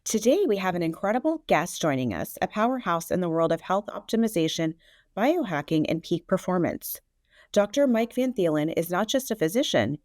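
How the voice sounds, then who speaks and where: A woman's voice: lowish voice, a little mellow, no room sound, clean studio sound. A woman hosting a podcast, introducing her guest enthusiastically and asking interview questions. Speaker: podcast host.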